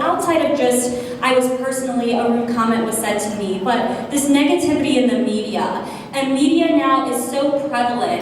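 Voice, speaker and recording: Woman lecturing in an echoey hall. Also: high voice. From United States. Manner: earnest, many fillers, self-aware about loud voice. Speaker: conference speaker presenting research. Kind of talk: academic conference talk. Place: conference hall. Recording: echoey hall from far off, noisy.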